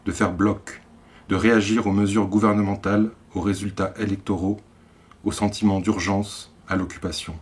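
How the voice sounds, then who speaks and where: A man with an accent from France reads literary poetry in a measured way, in short phrases set apart by deliberate pauses. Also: on the low side, a little mellow. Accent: French from France. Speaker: poet reading own work.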